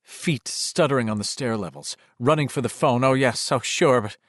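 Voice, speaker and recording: A man's voice: mid-range voice, normal speed, no room sound. Dry, close male horror audiobook narrator. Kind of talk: dramatic scripted horror narration.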